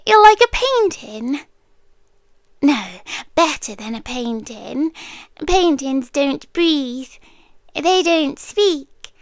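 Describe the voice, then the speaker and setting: Woman acting a high-pitched, slow-cooing possessive monster girlfriend. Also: no room sound, heavily acted. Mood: doting, soothing. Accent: British. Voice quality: soft, breathy, often dropping to a whisper. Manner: slow, cooing, intimate, coaxing. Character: possessive, doting female monster yandere girlfriend hoarding treasures. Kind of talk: ASMR roleplay.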